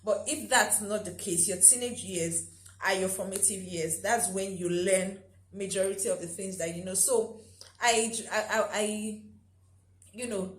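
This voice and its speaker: A woman's voice: mid-range voice, steady tone, unhurried, roomy sound, poor audio. A young Nigerian woman with a Nigerian accent, casually vlogging to her viewers, chatty, laughing easily and tagging sentences with "right?". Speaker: YouTuber. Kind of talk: casual vlog talk.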